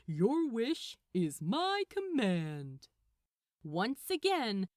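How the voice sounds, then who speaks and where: Expressive woman narrator, unhurried and lively. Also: mid-range voice, dry recording, studio quality. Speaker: audiobook narrator. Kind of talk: expressive scripted fiction narration.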